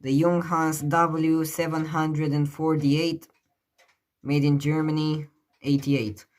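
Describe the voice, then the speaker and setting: Slow-paced hobbyist musing over a clock. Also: medium pitch, level tone, a little mellow. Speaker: clock collector presenting a clock. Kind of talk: casual hands-on demonstration. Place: indoors beside a ticking clock.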